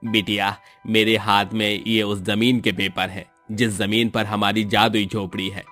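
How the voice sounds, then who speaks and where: A poor village father played by a male storyteller speaks in a low voice, earnest and kind-hearted. He belongs to a dramatised moral tale, with music playing underneath. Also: little pitch movement, a little slow, a bit bright. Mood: pleased.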